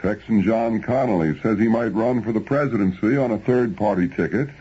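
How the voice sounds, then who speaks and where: A male newscaster reads scripted bulletins in a low, husky, mellow voice. The old radio recording is dull and muffled. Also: fairly quick. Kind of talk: scripted news reading.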